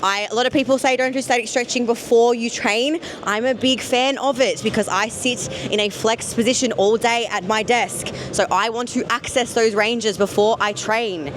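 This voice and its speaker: A woman's voice: on the high side, steady tone, moderate pace, hardly any pauses, a little hiss or hum. A woman guest talking casually about training during a gym vlog. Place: indoor gym.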